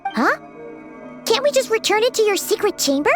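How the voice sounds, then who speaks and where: A tiny floating girlish companion from a video game, squeaky and childlike. Her voice sits extremely high and leaps around in lively swoops. She chatters eagerly, always excitable. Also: moderate pace, over music. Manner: bouncy, speaks of herself in third person. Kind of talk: video game dub acting.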